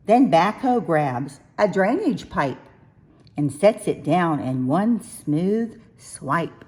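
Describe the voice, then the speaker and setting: Expressive, low-pitched woman reading a children's storybook. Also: breathy edge. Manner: sing-song, deliberate phrasing for young children. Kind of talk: rhyming picture-book read-aloud, expressive.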